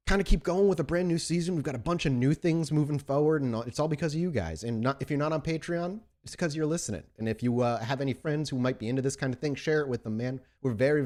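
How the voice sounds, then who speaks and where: Man, podcast host, very animated pitch swings, mid-range voice, informal, enthusiastic, casual intro chat. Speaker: host and storyteller of a tabletop roleplaying podcast.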